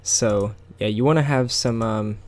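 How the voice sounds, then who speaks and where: A man's voice: lowish voice, unhurried, darkish tone. A man with a scratchy, tired voice, talking casually through a game and rambling with plenty of fillers. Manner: rambling, with many fillers and small laughs. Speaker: gameplay commentator.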